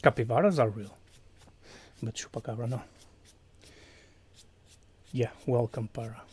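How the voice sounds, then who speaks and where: A man from Portugal with a Portuguese accent, chatting quietly on a stream, hesitant, with many fillers and slips into whispers. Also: medium pitch, measured pace, breathy edge. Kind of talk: quiet casual chat with viewers.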